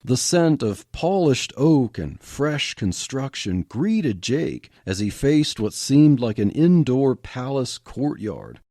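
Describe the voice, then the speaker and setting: Man narrating a story, slow and expressive, warm low tone, dry close mic. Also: dry recording. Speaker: podcast host and storyteller. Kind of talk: reading a story aloud.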